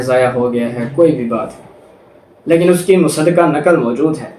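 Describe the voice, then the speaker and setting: Echoey, higher-pitched Pakistani male lecturer. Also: a little mellow. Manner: reads English clauses slowly, then explains with examples, code-switching. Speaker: law lecturer. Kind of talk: explanatory lecture, reading statutes then explaining. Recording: echoey room with clear background noise.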